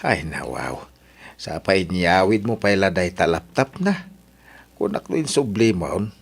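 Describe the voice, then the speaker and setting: A man with an Ilocano accent playing a worried middle-aged father in a radio drama, speaking in a low-key, questioning way. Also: big pitch swings, a little slow, gravelly, husky, exaggerated voice.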